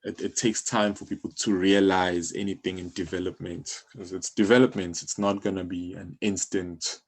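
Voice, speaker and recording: A man's voice: low-pitched, little pitch movement, moderate pace. A man speaking casually as an interview guest, explaining things in an encouraging way and often saying "you know". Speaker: music publisher, podcast guest.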